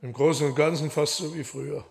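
A man's voice: on the high side, steady tone, fast, creaky, a little mellow. An actor playing an aloof masked man in a radio drama, musing with detachment on humankind. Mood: musing, lenient. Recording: theatre crowd murmur behind.

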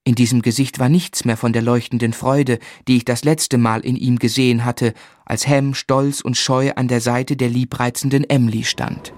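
Actor as an earnest, medium-voiced gentleman narrator. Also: medium pitch, flat delivery, no room sound, studio quality, natural voice. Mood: sombre. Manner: measured, earnest, literary. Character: earnest, sensitive young gentleman recalling sad events. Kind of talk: scripted first-person radio play narration.

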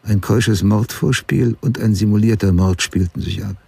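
A man's voice: on the low side, moderate pace, creaky, darkish tone, slightly rough, no room sound. An actor playing a sober, hard-boiled crime writer who narrates his past in a terse, matter-of-fact way in a radio drama.

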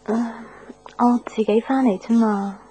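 A woman's voice: a little high, fairly even intonation, a little slow, warm tone, slight background noise, lightly acted. An actress playing a troubled, dutiful young woman in a Hong Kong Cantonese radio drama, speaking in a subdued, reflective manner. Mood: concerned. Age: young adult. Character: troubled, dutiful young daughter, lovelorn and introspective. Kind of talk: Cantonese radio drama acting with inner monologue.

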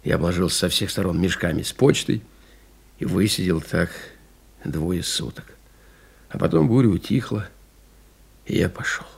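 An actor playing an exhausted, stubborn pilot recalling his survival in a radio play, speaking in a husky, gravelly voice with halting, weighted pauses. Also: low-pitched, expressive, exaggerated voice. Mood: weary recollection. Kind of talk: dramatic radio play monologue.

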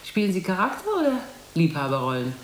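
A woman's voice: lowish voice, wildly swooping pitch, fast, roomy sound, slight background noise. A woman playing a middle-aged, worldly artist's wife in a radio drama, chatty, affected and patronising. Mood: breezy, condescending. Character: condescending, worldly artist's wife, secure and slyly needling. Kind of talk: German radio play acting.

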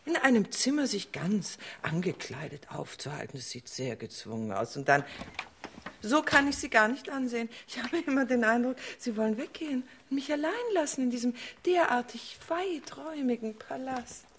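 An actress playing a seductive, commanding queen in a radio play, coaxing and teasing with breathy asides. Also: on the high side, animated, moderate pace, a bit bright, slight background noise. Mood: coaxing, imperious. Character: seductive, capricious, commanding queen, flirting with a visitor. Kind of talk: German radio play acting.

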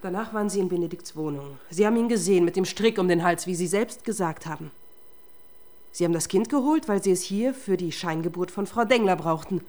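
A woman's voice: fairly low pitch, lively intonation, fairly quick, darkish tone, natural voice. Woman acting a pointed, controlled female police inspector. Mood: accusing, insistent. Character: tough, probing female police inspector, relentless interrogator. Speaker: actor in a crime radio play. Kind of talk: German crime radio drama acting.

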